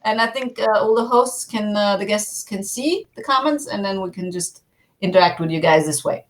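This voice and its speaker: A woman's voice: mid-range voice, normal speed. A woman hosts a live online talk show over a video call. She sounds upbeat and often jumps in with comments and laughs as she introduces her guests. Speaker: show host.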